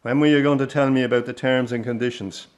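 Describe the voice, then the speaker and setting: Man acting older irish dad in a radio play, stubborn, wounded circus man, average pitch. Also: fairly even intonation, quick pace, lightly acted. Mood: reproachful, angry. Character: stubborn, wounded old father of a traditional family circus. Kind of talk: naturalistic radio drama acting.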